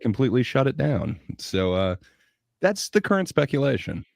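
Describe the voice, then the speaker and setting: A man's voice: medium pitch, big pitch swings, moderate pace, gravelly, darkish tone. A man chatting casually as a panelist on a livestream. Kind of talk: casual chat.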